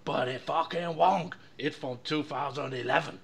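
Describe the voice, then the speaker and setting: A man's voice: on the high side, moderate pace, gravelly, husky. A man voicing a pushy male engine supplier rep in a mock Japanese accent, in broken English, in a comedy podcast skit. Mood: salesy. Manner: broken English, hard-selling, swears.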